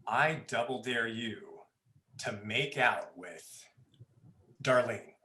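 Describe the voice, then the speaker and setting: A man's voice: medium pitch, takes it slowly, pushed and bright, roomy sound. An actor playing a cocky, teasing young man in a dramatized livestream script reading. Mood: taunting. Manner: teasing, smug.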